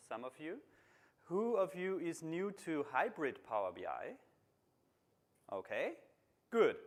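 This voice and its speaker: A middle-aged man from Austria with an Austrian German accent, German being his first language, giving a technical conference talk in a large room with steady, repetitive explaining. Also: medium pitch. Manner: plain, steady explaining with repeated phrasing and some restarts. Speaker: conference speaker, BI consultant.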